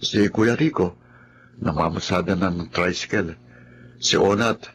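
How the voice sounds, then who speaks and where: A man's voice: low-pitched, measured pace, fairly bright tone, slight background noise. A man playing an earnest, reflective nephew who supports his family, in a Filipino radio drama. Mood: confiding. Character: adult nephew, burdened but accepting family breadwinner. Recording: music under the voice.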